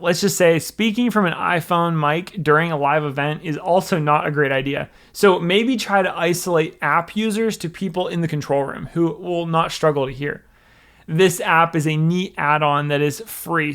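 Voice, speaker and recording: A man's voice: a little high, fairly bright tone. A man presenting a scripted tech tutorial in an instructional, plain-spoken way.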